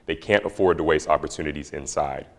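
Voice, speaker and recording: A young man with a very low voice breaks down the matchup in a measured, emphatic way. It is scripted analysis for a student sports show. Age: young adult.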